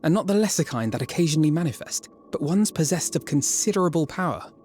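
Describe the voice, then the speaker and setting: A man's voice: fairly high pitch, animated, fast, darkish tone, a little hiss or hum, close to an everyday voice. A man acting an earnest soldier in a video game cutscene, giving a formal, composed, articulate briefing.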